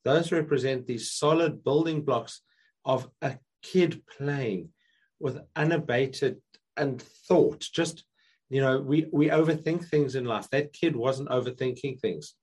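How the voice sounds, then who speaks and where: A man with a husky, croaky voice, hoarse from being unwell, speaks as a guest over a video call. He tells a story in a relaxed, chatty way, often stumbling and restarting his sentences. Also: medium pitch, unhurried, low-quality recording. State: hoarse, recovering from illness. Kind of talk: casual interview chat.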